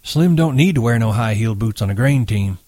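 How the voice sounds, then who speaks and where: A man's voice: mid-range voice, expressive, a little fast, warm tone, no room sound, studio quality, natural voice. A man voicing a nervous, gossipy old ranch swamper with a sly, wheedling manner. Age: older.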